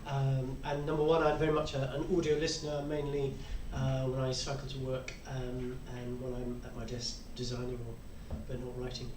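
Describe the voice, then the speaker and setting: A man's voice: medium pitch, completely flat delivery, moderate pace, hardly any pauses. A man in his sixties answering conversationally as a panellist at a convention. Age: 60s. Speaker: publisher and podcaster, panellist. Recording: echoey room, distant mic, faint noise.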